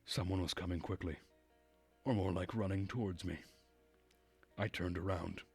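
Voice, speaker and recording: A man with a husky voice reading a visual novel aloud as narration while streaming the game. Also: sits low, fairly even intonation, moderate pace, soft background music.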